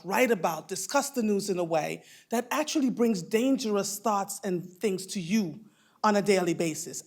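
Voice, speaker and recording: A woman in her fifties with a boomy voice, emceeing a conference and effusively addressing the audience from the stage. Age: 50s. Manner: effusive, calls people darling. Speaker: mistress of ceremonies.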